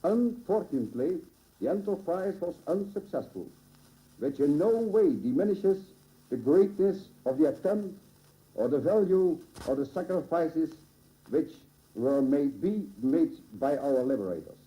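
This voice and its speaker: A man from the Netherlands with a Dutch accent reads a formal, solemn ceremonial speech in measured, oratorical phrases, on an old radio recording. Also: a little high, animated, warm tone. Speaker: local dignitary giving a dedication address. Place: public ceremony at a monument dedication. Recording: old muffled 1950s radio broadcast recording.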